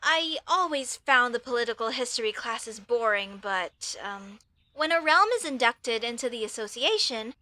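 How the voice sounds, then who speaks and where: A woman's voice: high voice, sharp tone. A woman voicing an earnest, apologetic young elf mage student in a comedy audio roleplay, talking in a hesitant, chatty way. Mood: explaining, sheepish. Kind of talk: comedy audio roleplay, speaking softly to the listener.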